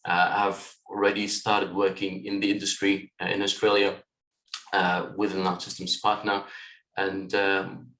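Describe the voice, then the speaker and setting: Low-voiced man in a roomy echo. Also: unhurried. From Lebanon, raised in Kuwait. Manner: relaxed, thoughtful, with frequent fillers. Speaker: guest, software tech lead. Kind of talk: interview conversation.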